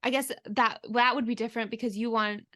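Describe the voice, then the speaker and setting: A woman's voice: a little high, steady tone, brisk, bright, forceful tone, no room sound, studio quality. A woman co-hosting a podcast in casual chat, circling toward her point with hesitant, restarted phrasing. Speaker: podcast co-host.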